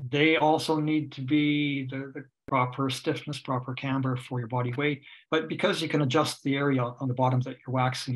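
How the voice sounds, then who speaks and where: Older man, canadian accent, ski instructor, video call webinar, lots of uh and um, mid-pitched. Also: poor audio. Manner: explanatory, hesitant with many uh and um fillers. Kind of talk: webinar presentation over slides. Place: home room on a video call. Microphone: webcam or computer mic on a video call.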